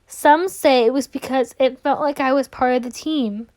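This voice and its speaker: A woman reading a children's picture book aloud in an expressive storytelling style, drawing out words for emphasis. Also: high voice, normal speed.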